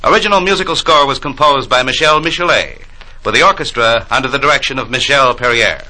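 A man's voice: medium pitch, fast, pushed and bright, low-quality recording. An American man reading scripted credits formally and measuredly as a 1940s radio announcer. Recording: 1940s radio broadcast recording.

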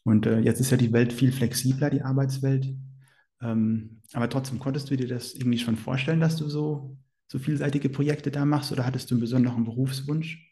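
A man's voice: medium pitch, fairly even intonation, brisk, warm tone. A man interviewing a guest, asking long, hesitant questions in a casual tone.

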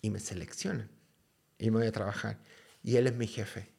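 A man from Chile with a Chilean accent, a podcast guest telling a story in a casual, lively way, full of slang and swearing. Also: fairly low pitch, moderate pace, gravelly, slightly rough.

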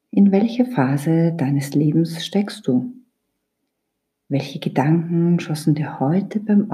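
A woman guides a meditation in a low, dark, relaxed voice. She speaks very slowly and deliberately, leaving pauses between instructions. Her delivery is soft and breathy, close to a whisper, calm and soothing throughout. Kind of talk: guided yoga nidra meditation.

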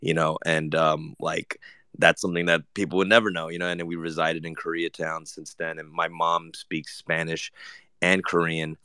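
Man, very low voice, flat delivery, bright and crisp tone, halting casual talk. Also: no room sound, clean studio sound. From Argentina. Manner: casual, halting, many fillers and restarts. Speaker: panelist, rapper. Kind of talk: panel discussion.

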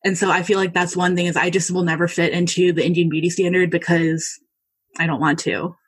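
A young American woman chatting easily through an interview, her voice soft and breathy with a slight husk. She strings her thoughts together with frequent likes and ums, relaxed and unguarded. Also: on the low side, brisk. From United States. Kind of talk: casual interview.

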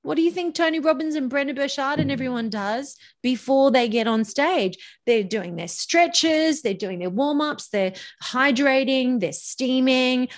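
A middle-aged woman who coaches voices speaks with emphatic, animated delivery. She lets her pitch swing widely and stretches key words for effect as she gives advice to listeners. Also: a little slow, few pauses. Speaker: voice coach, guest. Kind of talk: coaching advice in a podcast interview.

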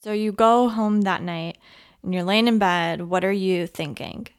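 A woman's voice: medium pitch, measured pace, smooth. A woman hosting a podcast, asking interview questions and narrating the story.

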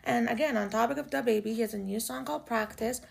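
A young woman from Canada with a low, raspy voice hosts a casual solo podcast, chatty and swearing freely, with lots of "like" and "y'all". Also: quick pace, a little hiss or hum. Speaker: podcast host. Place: home room in Toronto.

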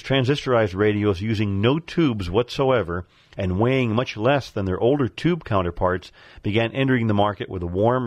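A middle-aged man with a fairly low voice narrates from a script in measured, formal sentences. The sound is dry and close, as on a radio feature with a nostalgic bent. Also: no echo. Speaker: radio show contributor on vintage radios.

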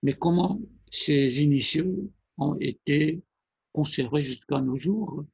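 Male presenter, measured and hesitant, husky low-energy delivery with a dry sound. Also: no room sound, poor audio. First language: Persian. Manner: deliberate, halting phrasing with pauses. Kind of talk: explanatory monologue.

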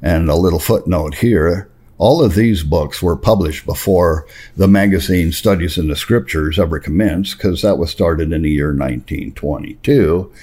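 A man reading a religious history memoir aloud, breaking off for spoken asides, in an earnest, emphatic storytelling way with dramatic pauses. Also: deep voice, a little mellow, breathy edge. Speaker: reader and narrator of religious history.

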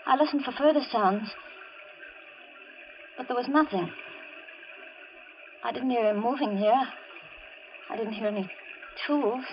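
A woman's voice: a little high, slight background noise, close to an everyday voice. Frightened woman narrator of an old radio thriller, acted. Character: frightened woman narrating her night ride with a killer. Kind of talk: 1940s radio thriller acting. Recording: muffled old radio broadcast, music behind.

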